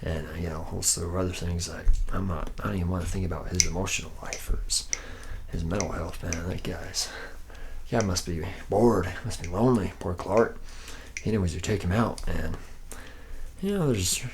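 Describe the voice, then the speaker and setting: Man acting as drunken womanizer factory worker, very deep, croaky, laid-back, slangy rambling, ASMR roleplay monologue, slight background hiss. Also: moderate pace. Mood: chummy, rambling. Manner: slack, rambling, boastful, slangy, repeating himself. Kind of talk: ASMR roleplay, soft improvised-sounding monologue.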